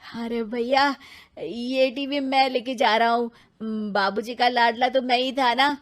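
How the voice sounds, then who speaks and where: Woman as a jumpy, high-voiced younger brother. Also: fairly even intonation, unhurried, natural voice. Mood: boastful. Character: excitable, quarrelsome younger brother, easily scared grown man.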